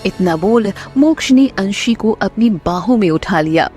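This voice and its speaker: A woman's voice: mid-range voice, animated, unhurried, darkish tone, soft background music. A woman narrating a scripted romantic drama story as the storyteller.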